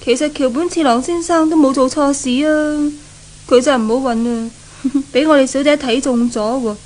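A woman plays a meek servant girl in a 1970s radio play, deferential and careful yet chatty. Her voice is high, with a Hong Kong Cantonese accent. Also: normal speed, darkish tone, close to an everyday voice. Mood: confiding, gossipy. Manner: meek, cautious. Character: timid, deferential maidservant, cautious but chatty.

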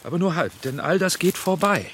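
Proud middle-aged male director, self-justifying, wide swings of pitch, slight background noise. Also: lively intonation, brisk, fairly bright tone. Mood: defensive, pleading. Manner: defensive, argumentative. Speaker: actor in a radio play. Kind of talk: German radio drama acting.

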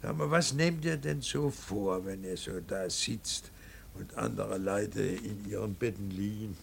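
Gravelly, brooding, stern father. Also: fairly low pitch, slight background noise. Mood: reproachful restraint. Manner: halting, probing, weighty delivery with rhetorical questions. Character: stern, brooding, anguished father of a wayward grown son. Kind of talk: classic German radio play acting.

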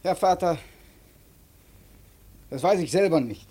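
An actor playing an evasive young son in a radio drama, cowed yet defiant, giving clipped, defensive replies. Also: male voice, sits high, lively intonation, slow pace, mellow, breathy edge, heavily acted. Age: young adult. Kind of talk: German radio drama acting.

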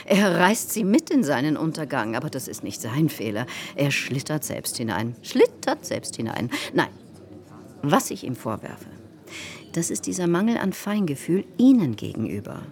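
An actress playing a gossipy, self-important salon hostess in a radio drama, confiding and insistent in a theatrical way. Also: female voice, low voice, sing-song, normal speed, darkish tone. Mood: confiding, reproachful.

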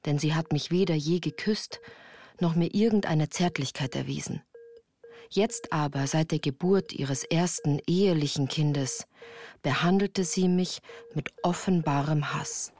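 A woman's voice: deep voice, darkish tone, a little hiss or hum. An actress as a woman recounting her childhood in composed, literary first-person narration for a radio drama. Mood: sober, reflective. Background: music.

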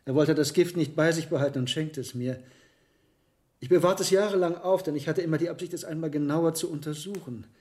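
An actor playing a learned, hesitant medieval monk herbalist in a radio drama, speaking in a deliberate, musing way. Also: male voice, on the high side, mellow, natural voice. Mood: recollecting. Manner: deliberate, musing, with reflective pauses. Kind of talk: German radio drama acting.

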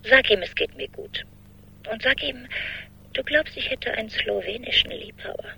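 A chatty, wry woman friend in a radio play. Her voice is bright and sharp-edged yet husky, and it swings widely in pitch. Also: animated, normal speed, heavily acted, poor audio. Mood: giggly. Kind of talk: radio drama acting.

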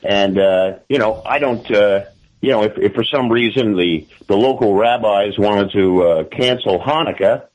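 An American man with a lowish, classic radio announcer sort of voice. He talks as a guest in a political interview, in a halting way, circling back to repeat the start of his phrases. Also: poor audio.

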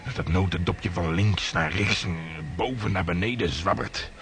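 An actor playing a gruff, lusty and gloomy old sea hand in a historical radio play, with earthy sailor talk. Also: male voice, low-pitched, normal speed, a bit bright. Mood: gloomy, grumbling. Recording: noisy radio play recording.

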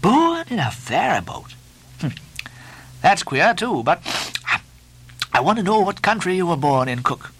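A man's voice: high-pitched, very animated, unhurried, long pauses, fairly bright tone, slightly rough, voice pushed up, heavily acted. A man voicing a bossy, mocking ship's officer in a sea novel, badgering theatrically with nautical commands and mock-solemn questions.

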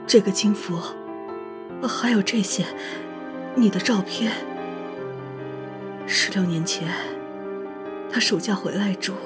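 A woman playing a hesitant, regretful middle-aged mother in an audio drama, gentle and halting. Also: mid-range voice, natural voice.

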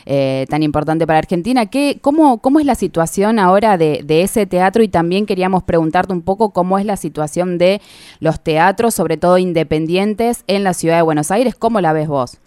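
A woman hosting a radio interview, asking her guest questions in a measured, polite way. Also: fairly low pitch, mellow, clean tone, no room sound, studio quality. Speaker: radio host.

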